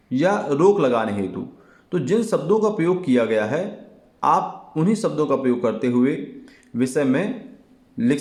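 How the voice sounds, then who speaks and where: A man teaching an exam lesson in a deliberate, explanatory way, repeating key phrases as he goes. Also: a little high, darkish tone. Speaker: Hindi teacher. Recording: echoey, reverberant room.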